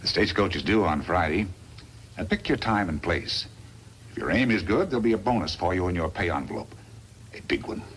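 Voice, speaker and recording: A man's voice: low-pitched, expressive, fairly quick. A man playing a cold, scheming villain boss in a 1950s radio western, insinuating and menacing. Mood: sly, menacing. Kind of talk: 1950s radio drama acting. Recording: slightly noisy 1950s radio recording.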